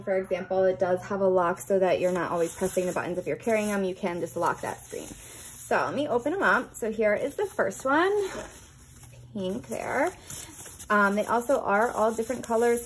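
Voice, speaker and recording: Woman, medium pitch, casual product reviewer, chatty explaining, informal unboxing narration. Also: slight background noise, poor audio.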